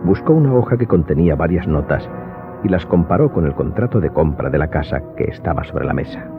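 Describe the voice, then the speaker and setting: A man's voice: very deep voice, expressive, brisk, gravelly. A man giving scripted literary narration for an audio drama. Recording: muffled, music playing behind.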